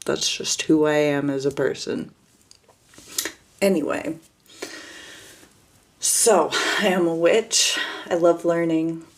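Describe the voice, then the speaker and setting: A woman with a low, slow voice speaks in a steady monotone that rarely rises or falls. She hesitates often, with fillers and restarts, as she casually introduces herself to her viewers. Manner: monotone, flat delivery.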